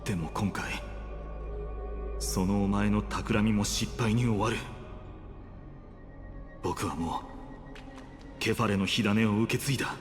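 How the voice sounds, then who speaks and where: A man's voice: on the low side, fairly even intonation, moderate pace, pauses often, big-room sound, faint music behind, close to an everyday voice. A man playing a defiant, embittered young hero in a game, speaking with solemn intensity. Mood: grim resolve. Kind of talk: Japanese anime-style game voice acting.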